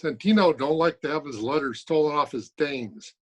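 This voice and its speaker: An actor playing a crude, taunting gangster heavy who talks in tough, broken grammar in an old-time radio crime drama. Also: male voice, on the high side, normal speed, natural voice. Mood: taunting threat. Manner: threatening, ungrammatical tough talk. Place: at home, recorded remotely.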